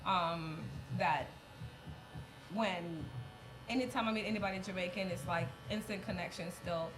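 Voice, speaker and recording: A woman's voice: lowish voice, moderate pace, background noise. A woman in her thirties, a mixologist guest, chatting casually and laughing as she tells stories. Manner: laughing, animated storyteller. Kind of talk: casual chat.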